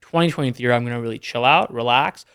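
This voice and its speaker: A man's voice: medium pitch, fast talker, fairly bright tone, smooth. A young man from Canada, a podcast guest talking casually and matter-of-factly about his business numbers. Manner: matter-of-fact, rattles off numbers. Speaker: guest, entrepreneur. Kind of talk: casual interview chat.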